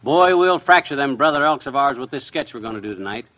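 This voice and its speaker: Guy doing boastful folksy radio sitcom husband, excitable blusterer, bright punchy voice, 1950s style. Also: mid-range voice, quick pace, natural voice. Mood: boastful, excited. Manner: folksy, excitable, self-important. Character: boastful, blustering husband dressed as a policeman for amateur sketch. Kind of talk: 1950s radio comedy acting.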